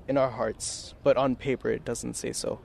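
A man's voice: mid-range voice, level tone, normal speed, vocal fry. Young guy from the philippines, earnest chatty interview, street noise behind him. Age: 20s. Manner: earnest, conversational with fillers.

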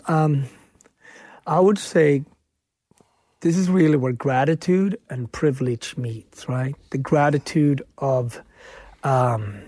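A man's voice: medium pitch, mellow, no room sound. A man from Sweden, a chef and author, answering questions earnestly in a radio interview. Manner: earnest, reflective, frequent "right?" tags.

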